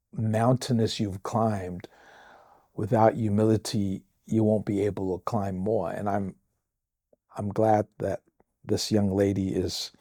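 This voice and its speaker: A Samoan man, a pastor, answering listener questions on a podcast in a slow, measured way with thoughtful pauses and a Samoan accent. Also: lowish voice, warm tone, no room sound, studio quality. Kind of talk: reflective podcast talk.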